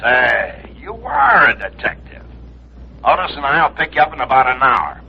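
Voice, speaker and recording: Blustering, excitable police lieutenant. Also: male voice, medium pitch, normal speed, creaky. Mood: irritated, sarcastic. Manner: irritable, sputtering, sarcastic. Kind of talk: 1940s-50s radio detective drama acting. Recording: dull, slightly noisy old radio recording.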